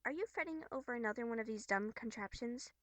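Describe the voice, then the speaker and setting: A woman voicing a bubbly, earnest young troll girl from a webcomic, scolding but caring, with theatrical, expressive delivery. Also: on the high side, fast talker, dry recording, close to an everyday voice. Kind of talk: amateur character voice acting from a webcomic.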